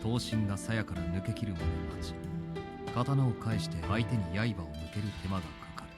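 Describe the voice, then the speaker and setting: An actor playing a stoic, reserved young samurai captain in a Japanese visual novel, measured and serious. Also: male voice, lowish voice, natural voice. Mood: grave, explaining. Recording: loud game music behind.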